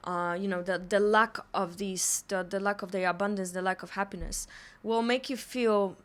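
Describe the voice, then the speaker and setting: A woman's voice: medium pitch, steady tone, normal speed. A woman from Poland, a guest on a podcast, speaking in a reflective, chatty way with many fillers. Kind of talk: casual conversation.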